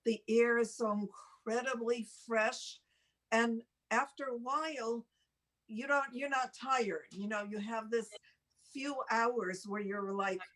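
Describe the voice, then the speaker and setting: An older woman telling a personal story casually, in a halting, rambling, self-deprecating way, as a talk show host. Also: a little high, fairly even intonation, fairly bright tone, rough recording.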